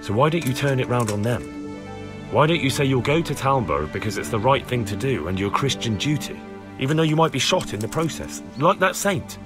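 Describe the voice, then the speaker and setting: A man's voice: medium pitch, sing-song, brisk, music in the background, close to an everyday voice. A man playing an earnest young squire in a medieval video game. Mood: indignant, persuasive. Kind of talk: video game dialogue acting.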